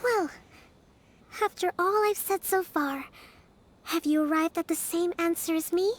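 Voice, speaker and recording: A woman's voice: very high voice, unhurried. A woman voicing a clever young sleuth in a video game, explaining things in a confident, analytical way. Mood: reasoning. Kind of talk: video game dialogue acting.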